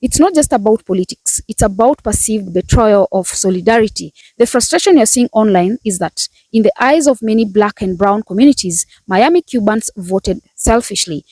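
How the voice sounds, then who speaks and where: A woman reading a scripted commentary in a measured, polished way. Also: mid-range voice, mellow, dry recording, rough recording. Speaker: commentary narrator. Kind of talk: scripted narration.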